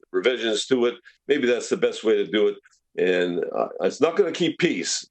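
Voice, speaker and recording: A man speaking as a city councillor in a meeting, deliberate and halting, often repeating words. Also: on the low side, darkish tone. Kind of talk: council meeting debate remarks.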